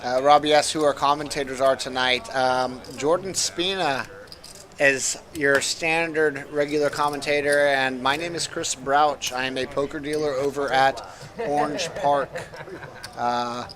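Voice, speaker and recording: A man's voice: mid-range voice, fairly even intonation, unhurried, a bit bright. An American man giving casual live poker commentary as a guest, easygoing and chatty.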